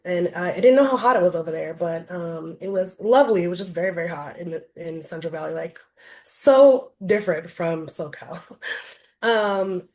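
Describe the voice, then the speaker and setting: A woman's voice: fairly low pitch, normal speed, a little mellow. Woman presenter, chatty and informal, lots of fillers, heard over a video call. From Rhode Island, US. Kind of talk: casual online presentation.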